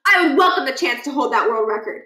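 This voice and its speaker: A woman's voice: high-pitched, expressive, quick pace, pushed and bright, reverberant, far from the mic, pitched above the performer's own voice. A woman reading a storybook as a blustering, suspicious male school principal, pompous and officious in manner.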